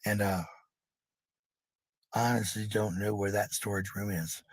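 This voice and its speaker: A man's voice: on the low side, steady tone, measured pace, husky, no echo. A middle-aged man talking casually in a rambling monologue, blunt and defensive, with some swearing. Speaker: parent talking about family life.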